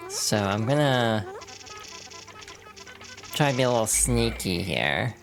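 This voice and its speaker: A VTuber streamer with a hoarse, froggy voice from allergies, chatting casually while playing a game and laughing at their own slips. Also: mid-range voice, very animated, takes it slowly, soft background music. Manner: chatty and self-deprecating, many fillers, laughs through words.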